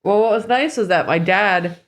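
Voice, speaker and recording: A woman's voice: medium pitch, normal speed. A sniffly, run-down woman co-hosting a gaming stream, chatting casually with dry teasing.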